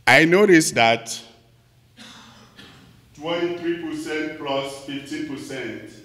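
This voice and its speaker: A man from Cameroon, giving a halting, earnest personal reflection at a church gathering. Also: on the high side, pushed and bright. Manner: halting, earnest, with frequent pauses. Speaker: church trip participant sharing reflections. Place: church sanctuary. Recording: long reverb of a large hall.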